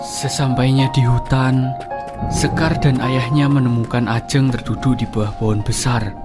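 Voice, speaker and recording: A man delivers scripted story narration. His pitch is average for a male voice. Music plays clearly behind him. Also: fairly even intonation, normal speed.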